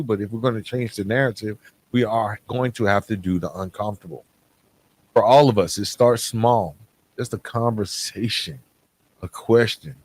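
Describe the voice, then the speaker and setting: A man hosting a podcast monologue, speaking to listeners in an earnest, persuasive way with rhetorical questions. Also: lowish voice. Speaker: podcast host.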